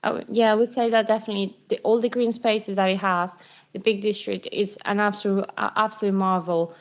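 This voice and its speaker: A woman's voice: mid-range voice, fairly even intonation, brisk. A woman in her twenties from Spain, speaking informally as a student ambassador on an online call. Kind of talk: informal presentation on a virtual call. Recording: dull, lo-fi online call audio.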